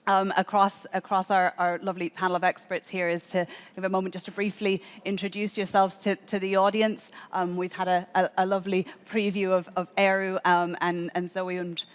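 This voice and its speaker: A woman's voice: medium pitch, little pitch movement, fairly quick, hardly any pauses, smooth. A woman moderating a conference panel, gracious and full of small restarts. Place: conference hall.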